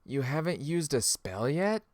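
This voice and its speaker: A man's voice: a little high, sing-song, a little slow, darkish tone, no echo, studio quality. A man playing a frank, easygoing guild medic in a fantasy game audiobook. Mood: mild concern.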